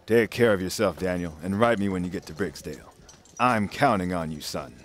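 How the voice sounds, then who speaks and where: A domineering judge and father, acted by a man in an audio play. His voice sits very low, and he speaks in a pompous, commanding, formal way. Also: fairly quick, a little hiss or hum, natural voice. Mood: paternal, insistent. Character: stern, domineering Chicago judge and demanding father.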